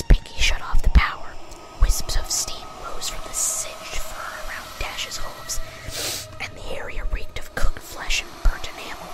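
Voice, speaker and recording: A woman's voice: extremely high pitch, low-quality recording. Whispering woman reading a story. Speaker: amateur story reader.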